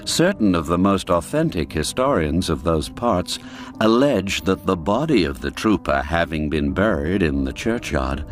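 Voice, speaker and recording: A man narrating a classic folk tale as scripted literary storytelling, in a measured, formal manner. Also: low voice, very animated, faint music behind.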